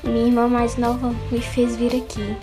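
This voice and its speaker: A woman with a Brazilian accent voicing a sly, deadpan teenage girl in a dramatic manga fan dub. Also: fairly high pitch, moderate pace, over music, natural voice. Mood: sulky.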